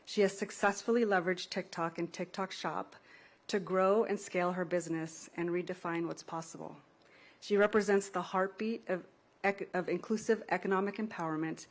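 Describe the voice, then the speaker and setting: A woman with a somewhat low voice speaks as a company representative. She delivers prepared testimony in a measured, formal and scripted manner.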